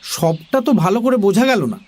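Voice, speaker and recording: Man as high-pitched, wide-eyed young storyteller. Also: animated, moderate pace, background noise. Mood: curious. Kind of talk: animated scripted narration of a children's story.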